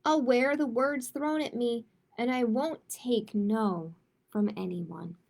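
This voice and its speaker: A woman hosting a children's storytime, reading a picture book aloud and chatting casually, with an expressive, emphatic delivery. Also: on the high side, slow pace, creaky.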